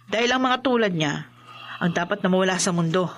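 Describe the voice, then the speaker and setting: A woman's voice: fairly low pitch, animated, a little fast, slight background noise. An actress playing an indignant, judgmental neighbour woman, huffy and scornful, in a radio drama.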